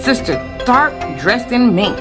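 A woman's voice: a little high, very animated, slow. A woman reading a rhyming children's picture book aloud expressively, phrase by phrase, with emphasis. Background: music.

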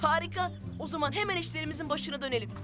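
An actress voicing an eager, curious young child in a children's cartoon. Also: female voice, high voice, very fast, over loud music, close to an everyday voice. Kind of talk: animated children's series dubbing.

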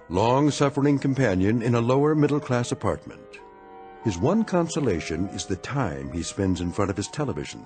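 A very deep-voiced man narrates a radio drama, measured and ominous, his pitch swooping widely through each line. Music plays softly behind him.

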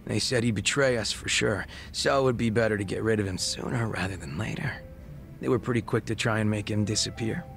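A man voicing a laid-back, wistful gang leader in his thirties in a video game, reflective, unhurried and casually profane, with a gravelly voice. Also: on the low side, a bit bright, soft background music. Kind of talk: video game cutscene dialogue acting.

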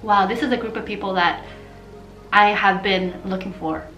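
A young woman from Portugal, talking casually to camera in an animated, chatty vlog style while gesturing with her hands. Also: mid-range voice, moderate pace, roomy sound, soft background music, rough recording. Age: young adult. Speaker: vlogger.

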